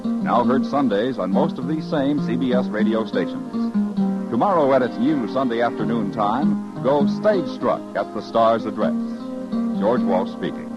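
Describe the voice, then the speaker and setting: Animated American vintage radio announcer, man. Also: mid-range voice, unhurried, loud music behind the voice. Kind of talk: 1950s scripted radio announcing. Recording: dull lo-fi vintage radio broadcast.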